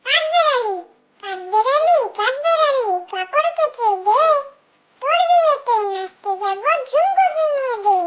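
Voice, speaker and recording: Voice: very high-pitched, animated, a little slow, a bit bright, muffled recording, exaggerated voice. A performer voicing a small cartoon child who chants nursery rhymes in a simple, repetitive sing-song.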